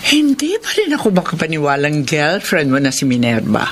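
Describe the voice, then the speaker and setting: A woman's voice: low-pitched, wildly swooping pitch, fairly quick, loud music behind the voice, heavily acted. A woman playing a middle-aged mother in a radio soap, with a Filipino accent. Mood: musing.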